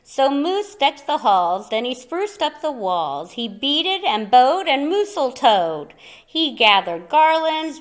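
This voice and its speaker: A woman reading a children's picture book aloud in a theatrical, playful way, with dramatic pauses and little asides for young listeners. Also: sits high. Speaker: storyteller.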